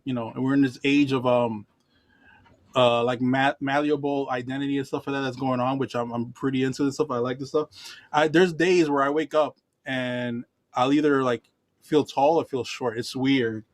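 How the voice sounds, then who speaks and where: Easygoing man, halting speech. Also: mid-range voice, fairly even intonation. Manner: halting, self-correcting, easygoing. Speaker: musician. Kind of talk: casual group chat.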